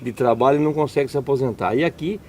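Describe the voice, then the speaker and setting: A man's voice: mid-range voice, very fast, darkish tone, a little hiss or hum. Man hosting an interview, leading questions. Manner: leading questions, summarizing remarks. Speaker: interviewer, host. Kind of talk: conversational interview.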